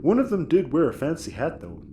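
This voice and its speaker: A man's voice: on the high side, huge pitch swings, brisk, warm tone, reverberant, a little hiss or hum. An actor playing a childlike, dim but cheerful crewman in a sci-fi audio comedy, naive and earnest. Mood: fond, earnest.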